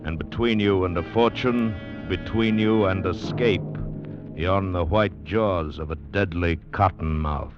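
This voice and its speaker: A man's voice: low-pitched, a little slow, loud music behind the voice. A man announcing a 1940s radio drama in an ominous, portentous scripted style. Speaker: program announcer. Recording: muffled old radio broadcast. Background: musical score.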